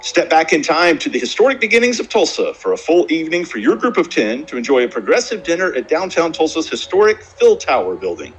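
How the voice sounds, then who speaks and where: A male announcer reads a polished promotional description, his mid-pitched voice moving in a lively, varied melody. Soft music plays faintly under him. The delivery is smooth and inviting. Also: a little fast, hardly any pauses, poor audio. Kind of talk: scripted promotional narration.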